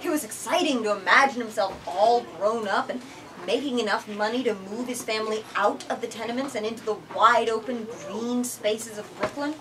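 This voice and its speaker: A woman giving a theatrical, expressive reading aloud in a bookstore, adding her own commentary. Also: on the high side, normal speed, far from the mic, noisy background. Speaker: reader leading a bookstore literary talk.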